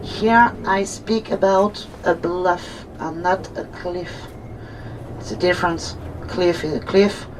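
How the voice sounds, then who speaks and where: French-accented woman guide, lowish voice. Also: slow pace. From Normandy, France. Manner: chatty, explains terms, asks listeners to check they follow. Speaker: tour guide. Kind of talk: guided tour commentary. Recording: distant voice with noisy vehicle background.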